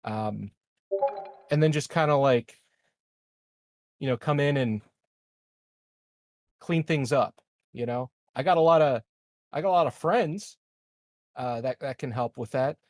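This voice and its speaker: A flippant tabletop-game president, acted out by a man, recorded close and dry. He stammers and rambles through excuses in a medium-pitched, everyday voice. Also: unhurried, pauses often, darkish tone, no room sound, lightly acted. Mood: casual scheming.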